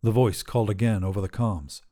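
A man's voice: lowish voice, fairly even intonation, a little fast, dark tone. A man narrating scripted short fiction as the host of a story podcast.

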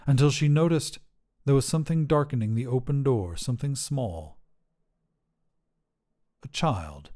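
A man narrating a scripted short story for a podcast in measured, deliberate phrases with pauses. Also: mid-range voice, warm tone.

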